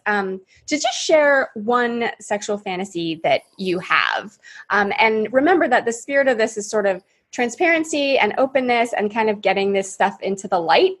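Woman podcast host, husky tone, upbeat and laugh-prone, casual chat. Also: medium pitch. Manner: upbeat, laughs often, many fillers.

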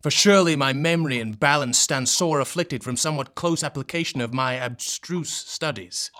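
A man's voice: mid-range voice, moderate pace, bright, forceful tone, close to an everyday voice. An actor playing a haughty, mad young man in a radio drama, speaking pompous, antiquated English. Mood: irritable, defiant.

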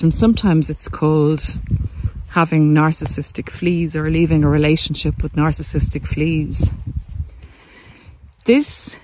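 A man giving a reflective, earnest monologue to listeners, speaking deliberately in short phrases with pauses. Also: fairly high pitch, darkish tone, poor audio. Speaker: podcast host and narcissistic abuse coach. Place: outdoors in the countryside, walking. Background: country sounds.

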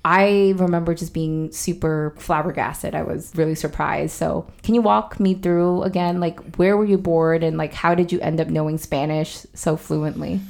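A woman's voice: deep voice, fairly even intonation, normal speed, vocal fry, hardly any pauses, a little mellow. A young adult woman hosting a podcast, chatting curiously with a friend and using frequent fillers. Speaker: podcast host. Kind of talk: casual interview.

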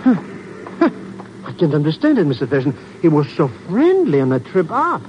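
High-pitched, jittery, excitable crook sidekick. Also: male voice, normal speed. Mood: confused. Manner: stammering, flustered. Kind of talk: 1950s radio drama acting. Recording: muffled, noisy old radio broadcast.

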